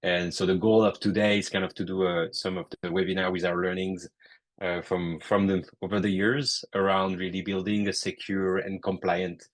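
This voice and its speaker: A man with a French accent giving a technical webinar presentation over a call, halting often with fillers and restarts. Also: sits low, little pitch movement. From France. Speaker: guest presenter.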